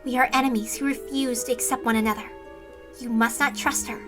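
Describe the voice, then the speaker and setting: A woman plays an ancient horned priestess from a visual novel, stern and defiant. Her voice is high, her speech grave and formal, with music clearly behind it. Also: steady tone, brisk, close to an everyday voice. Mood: hostile.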